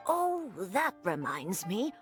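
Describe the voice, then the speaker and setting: Helpful well-mannered lady, formal, assured, animated melody, game dialogue over light music. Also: mid-range voice, slow. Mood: helpful, insistent. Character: polite, helpful village woman. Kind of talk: English video game voice acting.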